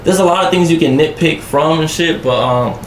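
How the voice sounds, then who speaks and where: A man's voice: fairly high pitch, fairly quick, slight background noise. A man from Southwest Detroit, a musician and dancer, chatting as a podcast guest in a laid-back, slangy way. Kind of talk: casual chat. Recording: echoey room.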